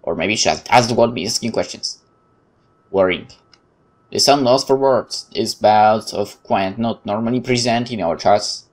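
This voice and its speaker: A male game streamer with a Polish accent reads visual novel narration aloud. His reading is expressive, with an occasional stumble and brief commentary. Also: fairly low pitch, unhurried.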